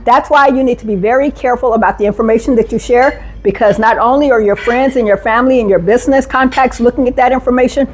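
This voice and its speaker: A woman giving a live safety talk to a conference audience, energetic and engaging, often asking the room questions. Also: fairly high pitch, fast talker, hardly any pauses, poor audio. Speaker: safety speaker.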